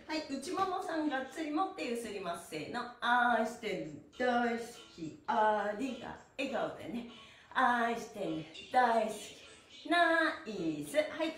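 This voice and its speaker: A woman's voice: a little high, fairly bright tone. A middle-aged woman leading a stretching class, giving cheerful, encouraging cues and rhythmic chants, recorded from a camera a few metres away in a small room. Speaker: stretching instructor and host. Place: small bare-walled indoor studio. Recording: echoey room, distant microphone.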